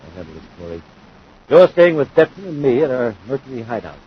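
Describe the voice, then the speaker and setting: An actor playing a cunning, curt criminal mastermind in a 1950s radio serial. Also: male voice, medium pitch, very animated, a little fast, husky. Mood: menacing. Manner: curt, domineering. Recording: noisy, dull 1950s radio recording.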